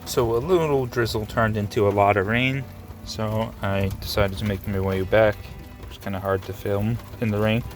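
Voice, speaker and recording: Casual male outdoor vlogger, lowish voice, laid-back, musing, rain and music behind. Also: moderate pace. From Canada. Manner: relaxed, musing, thinks aloud with restarts. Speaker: rockhounding vlogger. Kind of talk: casual outdoor vlog narration. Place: rainy beach outdoors.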